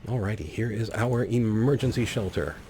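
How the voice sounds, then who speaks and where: A man's voice: lowish voice, normal speed, a little mellow. A man giving casual, playful gameplay commentary as a let's play host, rambling with wry asides. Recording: faint background noise.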